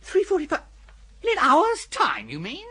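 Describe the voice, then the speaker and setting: An actor playing a flustered, foppish young English gentleman in a radio comedy, plummy, stammering and bumbling, with an upper-class accent. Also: very high-pitched, wildly swooping pitch, normal speed, exaggerated voice. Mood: reluctant. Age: young adult. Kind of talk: radio comedy drama acting.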